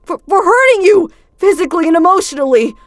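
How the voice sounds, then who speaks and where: A woman's voice: medium pitch, moderate pace, no echo, natural voice. A woman voicing a guilty, self-loathing teenage boy who stammers anxiously. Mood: remorseful.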